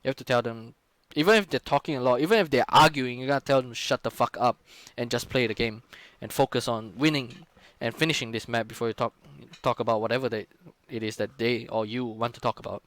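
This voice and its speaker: A man's voice: medium pitch, fast talker, a bit bright, dry recording. Casual man breaking down gameplay. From Singapore. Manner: casual, frequent swearing and fillers. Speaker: streamer and in-game leader. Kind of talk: casual live-stream game analysis.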